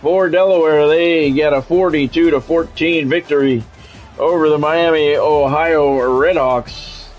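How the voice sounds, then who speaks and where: Male game streamer, fairly high register, sportscaster-style calls with salty off-the-cuff commentary, music in the background. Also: fairly even intonation, a little slow, dull audio. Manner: announcer-style delivery with wry, grumbling, profane asides. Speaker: gaming streamer doing play-by-play commentary. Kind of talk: sports play-by-play commentary mixed with casual asides.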